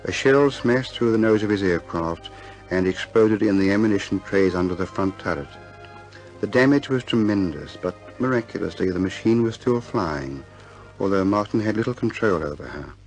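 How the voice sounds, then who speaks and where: Male storyteller-presenter. Low pitch, formal 1950s radio narration, steady and scripted, prominent musical backing. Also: moderate pace, soft background music, rough recording.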